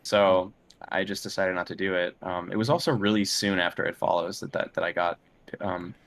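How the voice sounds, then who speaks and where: A man with a very low-pitched voice talks casually as a guest in an interview. He is hesitant and feels his way through each thought as he speaks. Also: brisk, a bit bright. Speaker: interview guest, film and game composer.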